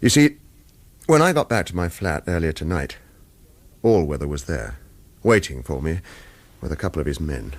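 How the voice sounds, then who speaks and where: Radio thriller lead: a stoic former government killer, deep and understated yet varied in pitch, world-weary. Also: male voice, animated, moderate pace, pauses often, no echo, full character voice. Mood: wry, resigned. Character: weary ex-government assassin on the run, guarded and stoic. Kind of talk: radio thriller acting with first-person narration.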